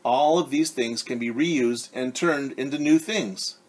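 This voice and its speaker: A man's voice: mid-range voice, a little slow, darkish tone, slightly rough. A man in his forties reading a picture book aloud as a teacher, stopping to explain things simply and ask the children questions. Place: living room at home, indoors. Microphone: device camera at about arm's length.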